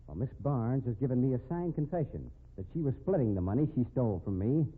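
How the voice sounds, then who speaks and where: A man's voice: medium pitch, animated, fast talker, slightly rough. An actor playing a confident, tough man cornering a crook in a 1950s radio drama, sardonic and hard-boiled. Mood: stern, accusing. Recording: narrow phone-like old radio recording.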